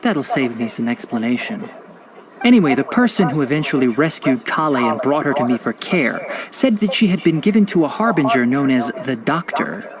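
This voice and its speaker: A patient, lecturing forest ranger. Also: male voice, mid-range voice, sing-song, moderate pace, crowd noise, poor audio. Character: calm, learned young male forest ranger and botanist. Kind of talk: video game voice acting. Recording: loud game soundtrack music behind.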